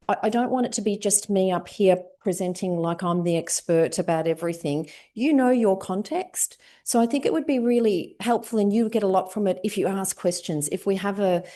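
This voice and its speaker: Casting: Australian woman lecturer, warm mellow tone, loud and chatty. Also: medium pitch. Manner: loud projecting voice, conversational. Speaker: guest lecturer. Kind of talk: informal academic lecture. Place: lecture room.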